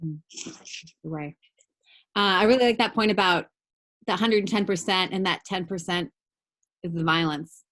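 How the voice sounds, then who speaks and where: A woman's voice: lowish voice, moderate pace, long pauses. A woman college teacher hosts a class video call, talking in a chatty way with lots of fillers. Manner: warm, chatty, many fillers.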